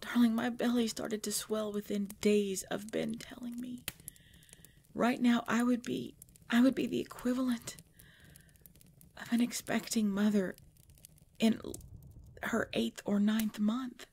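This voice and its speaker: Woman acting a halting, creaky-voiced, tormented vampire woman. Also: fairly high pitch, little pitch movement, darkish tone, slight background noise. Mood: strained, earnest. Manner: breathy, halting, often dropping to a whisper. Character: tormented, devoted pregnant vampire woman, self-denying. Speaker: voice actor in audio roleplay. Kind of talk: intimate ASMR roleplay acting.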